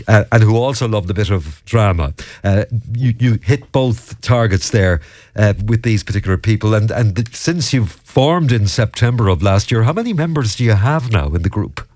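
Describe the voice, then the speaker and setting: A man with an Irish accent presenting local radio news and interviewing a guest, with a steady delivery and some fillers. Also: lowish voice, no echo. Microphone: studio microphone.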